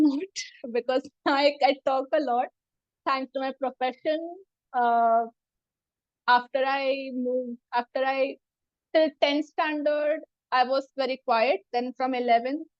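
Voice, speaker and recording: A woman's voice: high voice, no echo, dull audio. A woman in her thirties from India, a psychologist giving a hesitant self-introduction full of fillers and restarts. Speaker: psychologist presenting about herself. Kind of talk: casual self-introduction talk.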